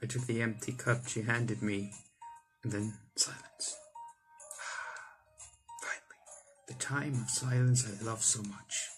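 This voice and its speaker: A man's voice: on the low side, steady tone, measured pace, long pauses, rough recording. Young man reading a visual novel aloud. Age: young adult. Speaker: gaming YouTuber. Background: game music.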